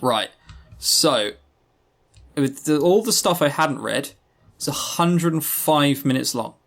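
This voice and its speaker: A man's voice: fairly high pitch, slow, creaky, fairly bright tone. A man co-hosting a podcast, chatting and reviewing games in a rambling, opinionated way with casual swearing. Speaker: podcast co-host. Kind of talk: casual chat, game review.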